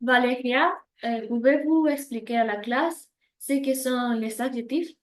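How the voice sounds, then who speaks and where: A woman teaching French grammar, slowly reading out example phrases in a scripted lesson. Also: sits high.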